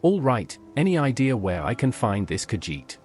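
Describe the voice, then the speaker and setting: An AI voice as a plain male adventurer in a fantasy game, agreeable and direct. The synthetic voice rises and falls a lot, yet the delivery stays flat. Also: medium pitch, normal speed, soft background music.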